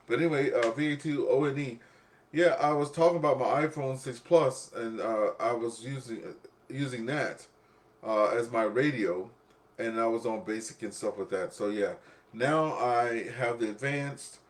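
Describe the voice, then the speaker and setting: A man's voice: mid-range voice, moderate pace. A man hosting an amateur radio net in a casual, rambling, chatty way, often repeating himself. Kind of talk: casual ham radio chat.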